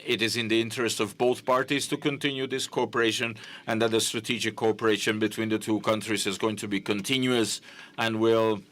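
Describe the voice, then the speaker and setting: A man giving simultaneous interpretation at a press conference, halting, with frequent fillers and self-corrections. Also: lowish voice, little pitch movement, fairly bright tone.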